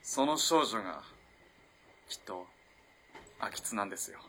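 A polite young student from a radio play. His voice is lowish and bright, with an earnest, measured storytelling delivery. Background noise is clearly audible. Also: lively intonation. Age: young adult. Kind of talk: radio drama acting, storytelling.

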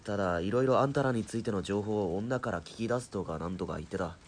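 A man's voice: fairly low pitch, fast, a little hiss or hum, lightly acted. An actor playing a captured military policeman in an audio drama, defiant but cornered, blustering and grudging.

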